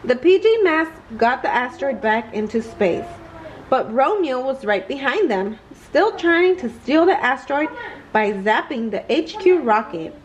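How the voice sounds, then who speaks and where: A woman's voice: high-pitched, very animated, a little slow, a little hiss or hum, rough recording. A woman reading a children's bedtime story aloud, expressively and carefully. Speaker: storybook reader.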